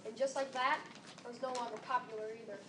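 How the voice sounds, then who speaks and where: A woman's voice: fairly high pitch, steady tone, fast. A seventh-grade girl performing a scripted readers theater monologue. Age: about 12 to 13, seventh grader. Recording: echoey room, distant mic, faint noise.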